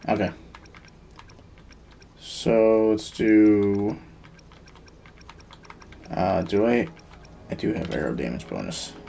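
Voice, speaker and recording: A man's voice: fairly low pitch, very animated, takes it slowly, vocal fry, pauses often, dark tone, soft background music. A man streaming a video game, giving casual commentary and muttering to himself as he thinks aloud. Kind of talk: casual live gameplay commentary.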